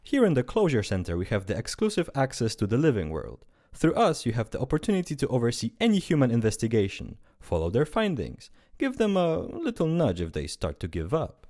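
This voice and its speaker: A man's voice: mid-range voice, wildly swooping pitch, a little fast, hardly any pauses, mellow. A man playing a breezy, condescending afterlife closure officer in an amateur radio drama. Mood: officious. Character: fussy, glib male afterlife closure officer.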